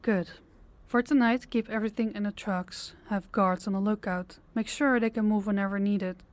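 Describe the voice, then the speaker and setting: A woman's voice: medium pitch, steady tone, lightly acted. Woman acting a commanding female crime boss. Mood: firm, commanding. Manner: authoritative, deliberate, clipped orders. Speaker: voice actor. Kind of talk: audio roleplay drama acting.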